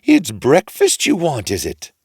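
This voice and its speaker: A man voicing a great big ogre's wife in a storybook, high-pitched, exclaiming, brusque yet motherly. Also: wildly swooping pitch, measured pace, a little mellow, breathy edge, no echo, clean studio sound, heavily acted. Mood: brusque, warning.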